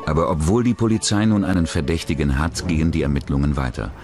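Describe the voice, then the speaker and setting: A male narrator with a very deep voice reads a scripted true-crime documentary. Clearly audible music runs behind him. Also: fast.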